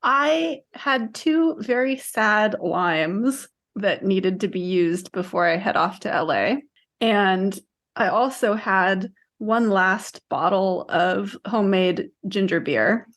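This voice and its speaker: A woman's voice: medium pitch, takes it slowly, fairly bright tone. A woman co-hosting a podcast in casual chat, matter-of-fact with a dry, teasing streak. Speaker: podcast co-host.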